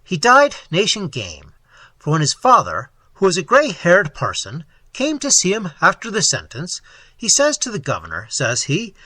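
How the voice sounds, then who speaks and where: A man's voice: high-pitched, huge pitch swings, normal speed, bright, forceful tone, no echo, heavily acted. A man voicing a jovial, coarse, talkative policeman telling tales in slang for an audiobook. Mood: jovial, mocking. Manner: slangy, rambling, relishing his own tale. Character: jovial cockney policeman, coarse, talkative, fond of grisly anecdotes. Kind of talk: audiobook character voice.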